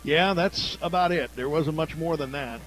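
A man works as a radio play-by-play announcer, calling a football game live. His voice sits high for a man as he follows the action down by down. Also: normal speed, a bit bright, faint music behind, low-quality recording.

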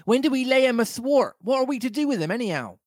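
A man's voice: extremely high pitch, expressive, fast talker, dry recording, studio quality. A man voicing an eager, naive young sailor lad in a storybook. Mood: eager, questioning.

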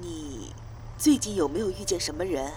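A woman playing a middle-aged mother in an audio drama, hesitant, soft-spoken and gently concerned. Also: on the low side, moderate pace, slight background noise, lightly acted. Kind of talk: Chinese audio drama acting.

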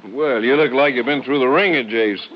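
An actor with an American accent playing a plain-spoken, folksy small-town Texas sheriff in a 1950s radio crime drama. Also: male voice, mid-range voice, normal speed, lightly acted. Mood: concerned. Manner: folksy, colloquial. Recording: muffled old radio recording.

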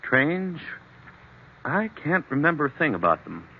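An actor playing a troubled, confused businessman in an old radio drama, halting and uneasy as he speaks. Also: fairly high pitch, very animated, gravelly, slight background noise, exaggerated voice. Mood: anxious, defensive. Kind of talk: 1940s radio drama acting. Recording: muffled vintage radio recording.

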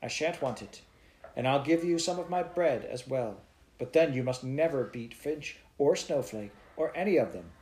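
A man reading aloud, voicing an eager, excitable little girl with a raised, wondering voice in a storybook. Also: normal speed, lightly acted, low-quality recording. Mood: pleading, earnest. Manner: breathless, wondering, raised pitch.

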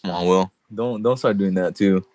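A man's voice: sits low, normal speed, darkish tone, no echo. A man co-hosting a podcast in casual chat, congested and laughing often as he jokes around. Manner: laughs often, loose and joking. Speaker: podcast co-host.

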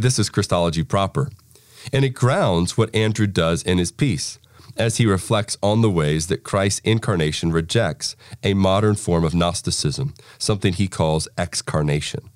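A man with a low voice hosts and reads a prepared introduction. The recording is dry and close, with very little room sound. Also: no room sound. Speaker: podcast host introducing an article. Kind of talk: scripted spoken introduction.

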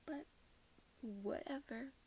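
A woman's voice: sits high, takes it slowly, darkish tone, slight background noise. A teenage girl from Minnesota chatting casually about her month, rambling and laughing often. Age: teens. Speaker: podcast host. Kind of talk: casual personal chat.

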